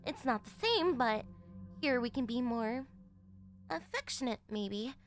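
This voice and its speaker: A woman's voice: on the high side, big pitch swings, measured pace, faint music behind. A woman voicing a shy, flustered tsundere young girlfriend in an anime-style ASMR roleplay, defensive and stammering, with a whiny edge. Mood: shy affectionate.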